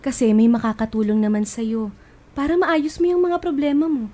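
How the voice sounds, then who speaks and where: A woman playing a gentle, devout friend offering comfort in a radio drama. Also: on the high side, level tone, moderate pace, lightly acted. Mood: encouraging.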